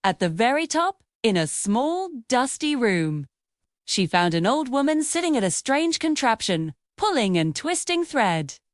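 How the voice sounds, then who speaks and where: A woman's voice: a little high, very animated, measured pace, no echo, clean studio sound. A woman reading a scripted fairy tale for children as the storyteller.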